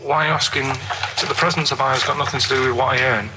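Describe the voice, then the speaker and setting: A man's voice: mid-range voice, steady tone, rapid-fire, very noisy. A man chatting casually as a radio co-host, downbeat, grumpy and matter-of-fact.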